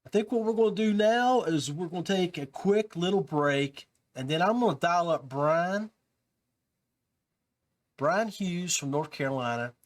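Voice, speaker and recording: A man's voice: high-pitched, animated, a little slow. A man hosting a podcast, talking casually and informally straight to his listeners. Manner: informal, addresses listeners as guys and peeps. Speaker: podcast host.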